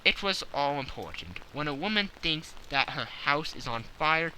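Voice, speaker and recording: Guy doing a smug clever gentleman detective for an audiobook, sharp bright voice, very animated, faint rain. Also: fairly high pitch, slight background noise, full character voice, poor audio. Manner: assured, precise, self-satisfied explaining. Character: confident, analytical gentleman detective explaining his schemes. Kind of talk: audiobook character acting.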